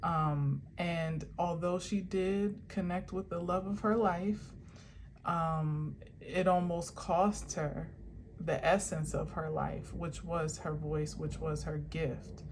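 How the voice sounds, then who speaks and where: Slow, low-voiced woman reading cards. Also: steady tone, a little mellow, a little hiss or hum. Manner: meandering, musing, self-correcting. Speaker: spiritual tarot reader. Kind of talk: casual card-reading vlog. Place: outdoor garden.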